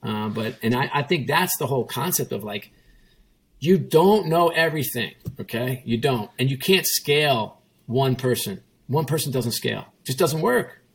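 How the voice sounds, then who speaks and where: A man with a gravelly, husky voice tells his story in a relaxed interview. He leans hard on repetition and acts out the lines of the people in it. His pitch sits in a middle range. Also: moderate pace. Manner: emphatic, repetitive, quotes himself and others. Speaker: former CEO, guest.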